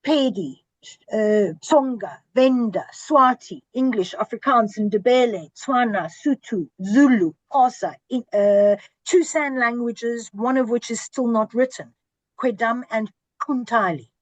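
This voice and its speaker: A woman's voice: a little high, a little slow, no room sound, low-quality recording. A woman, a senior broadcast journalist, speaking earnestly and emphatically in a panel discussion over a video call. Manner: earnest, emphatic, lists and repeats for stress.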